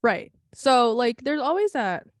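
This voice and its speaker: A woman's voice: fairly high pitch, sing-song, unhurried, no echo. Rambling young woman, dry sound. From United States. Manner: rambling, laughs often, swears, lots of "like". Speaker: podcast co-host. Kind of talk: casual chat.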